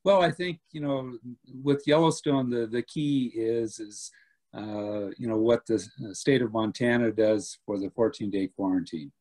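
Man in his fifties, airport director, measured and hesitant, laptop webcam audio, home room. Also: medium pitch. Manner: measured, steady, many filler "uh"s. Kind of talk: video call interview answers. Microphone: laptop webcam on video call.

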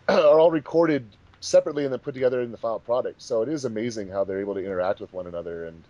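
A man's voice: mid-range voice, racing, mellow, muffled recording. A man casually explaining things with enthusiasm on a commentary track, as one of its makers. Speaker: fandub producer and voice actor.

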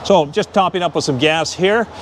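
A man's voice: a little high, moderate pace, fairly bright tone, a little hiss or hum. A man in his fifties narrating a casual outdoor vlog in a chatty, joking way, recorded on a lapel mic outside. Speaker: vlogger. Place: outdoors at a boat launch and gas station. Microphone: lapel mic clipped to shirt.

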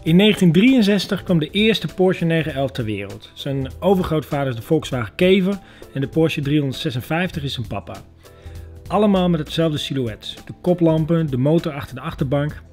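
A man's voice: a little high, expressive, brisk, a little mellow, faint music behind. A man with a Netherlands Dutch accent, working as a host and interviewer. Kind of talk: scripted narration and interview questions.

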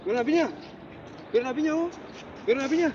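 A man's voice: extremely high pitch, fast, muffled recording, crowd noise. A young man with an Argentine accent, co-hosting a street prank and pestering strangers with repeated accusing questions. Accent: Argentine Rioplatense. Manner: insistent, repetitive accusing questions. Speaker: prank co-host. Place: outdoors on a seaside promenade.

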